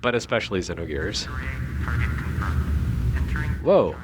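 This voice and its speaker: A man's voice: deep voice, big pitch swings, takes it slowly, noisy background. A man giving casual, joking commentary on video game cutscenes with dry, sarcastic humour.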